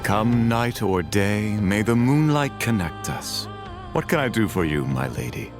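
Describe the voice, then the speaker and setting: A man's voice: on the low side, animated, a little slow. A man voicing a deferential devotee of an order in a video game, formal and respectful, with music behind.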